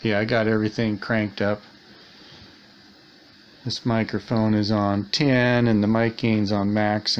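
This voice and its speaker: Slow-talking, low-pitched man. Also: muffled recording, slight background noise. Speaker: electronics repair hobbyist, host. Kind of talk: casual hands-on repair demonstration. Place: indoors at a workbench.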